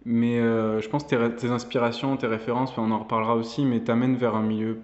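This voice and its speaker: A man hosting a podcast, asking questions in a relaxed, conversational way with some fillers. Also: medium pitch, fast talker, roomy sound, dull audio. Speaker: podcast host. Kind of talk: podcast interview.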